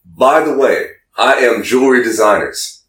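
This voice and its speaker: A man's voice: mid-range voice, expressive, normal speed, fairly bright tone. A man casually reading text messages aloud for comedy, stumbling a little through broken English in an amused way. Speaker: podcast host. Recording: roomy echo, distant from the mic.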